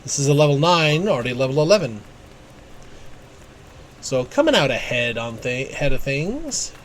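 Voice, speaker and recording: A man's voice: mid-range voice, sing-song, normal speed, slight background noise. A man giving casual let's-play commentary as a game streamer.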